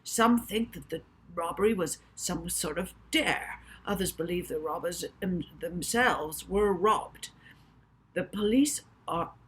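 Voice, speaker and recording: A woman reading a storybook, voicing an excited male TV news reporter with emphatic, dramatic announcing. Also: medium pitch, close to an everyday voice.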